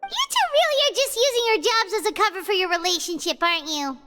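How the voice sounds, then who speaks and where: A woman voicing a small, childlike, chatty fairy companion in a video game, squeaky and bubbly, often calling herself by name. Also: animated, quick pace, pushed and bright, faint music behind, full character voice. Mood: teasing. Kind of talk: animated video game voice acting.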